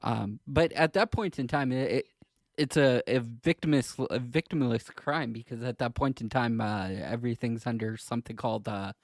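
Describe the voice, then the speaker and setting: A man co-hosting a podcast in casual discussion, speaking hesitantly in halting, trailing clauses. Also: medium pitch, dry recording, studio quality. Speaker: podcast co-host.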